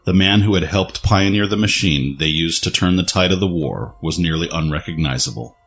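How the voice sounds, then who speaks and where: A man with a deep voice narrates a dramatic story. His delivery is serious and measured, as scripted fiction, with music playing underneath. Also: fairly bright tone.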